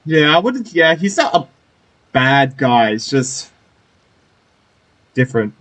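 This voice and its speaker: Guy gaming and chatting, jokey, husky voice, bouncy pitch. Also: very animated, slow pace, a bit bright. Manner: chatty, jokey, reacts and laughs. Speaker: gamer playing through a story quest. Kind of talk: casual let's-play commentary. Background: faint game music.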